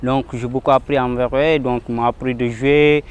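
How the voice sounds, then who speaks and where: Ivorian football trainee, interview, smooth resonant voice, mid pitch, short simple sentences. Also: male voice, fairly even intonation, normal speed, muffled recording. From Ivory Coast. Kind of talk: documentary interview.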